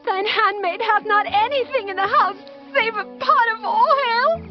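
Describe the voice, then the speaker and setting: Poor bible widow, super high plaintive voice, bit muffled. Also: female voice, unhurried, soft background music. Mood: distressed. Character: pleading, distressed poor widow. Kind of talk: dramatized scripture audio acting.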